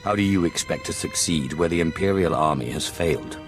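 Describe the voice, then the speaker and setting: A very deep, haughty Sith lord acted by a man in a space-fantasy game. He is dry, sardonic and unhurried, with loud music playing behind him. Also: close to an everyday voice. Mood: aloof, dry. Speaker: player character in a video game. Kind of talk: video game voice acting.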